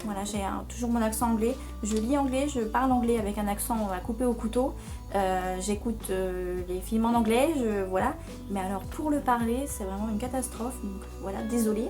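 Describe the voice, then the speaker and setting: A woman's voice: a little high, normal speed, over music. Hesitant young woman chatting about books. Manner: hesitant, many fillers. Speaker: book vlogger. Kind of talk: casual book chat.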